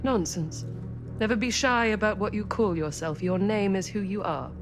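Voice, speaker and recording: An actress playing a formal, measured, politely menacing hostess and collector in a cinematic video game. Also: female voice, mid-range voice, lightly acted. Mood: gracious. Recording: music under the voice.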